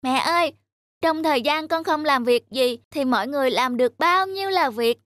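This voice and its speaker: A woman voicing a childlike, expressive young rabbit, sleepy, lazy and earnest, for a children's cartoon. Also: high voice, moderate pace, dry recording, studio quality. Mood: amazed, earnest. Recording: background music under the voice.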